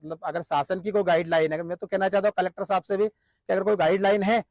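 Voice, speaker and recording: Even-toned man, dry close sound. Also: sits high, fast, no room sound. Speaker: community representative giving a statement. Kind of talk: statement to news camera.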